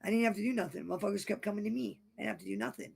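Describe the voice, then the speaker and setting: A man with a raspy, damaged voice that is going hoarse, chatting frankly over a video call and repeating himself as he rambles. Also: sits high, very fast, darkish tone. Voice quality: raspy, gravelly voice from vocal cord damage. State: losing his voice, hoarse. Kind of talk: casual late-night chat.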